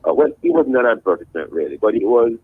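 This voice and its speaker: A man hosts a morning radio interview in a low, husky voice, polite and formal, often restarting his sentences. The sound is thin and phone-like, and very dry. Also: big pitch swings, fast, dry recording. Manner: polite, formal, addresses guest as sir, many restarts. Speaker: radio morning show host. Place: radio studio.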